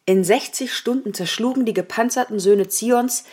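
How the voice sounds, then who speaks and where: A woman's voice: on the high side, normal speed, a little mellow, breathy edge. An actress in a radio play, playing a woman who reads a report aloud in a naturalistic way. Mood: reading aloud. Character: woman reading a magazine report aloud to Kai. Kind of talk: naturalistic radio drama acting.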